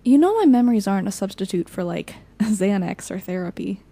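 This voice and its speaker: A woman's voice: medium pitch, lively intonation, fairly quick, warm tone, dry recording. An actress playing a weary, frank young woman, a supportive friend, in casual audio drama dialogue. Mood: weary, firm. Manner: casual, candid.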